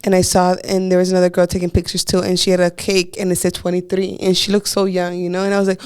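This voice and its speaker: A woman in her twenties co-hosting a podcast, telling personal stories in earnest, drawn-out casual chat full of "like". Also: fairly low pitch, completely flat delivery, darkish tone, smooth. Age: 20s. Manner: earnest, drawn-out storytelling with lots of "like". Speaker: podcast co-host.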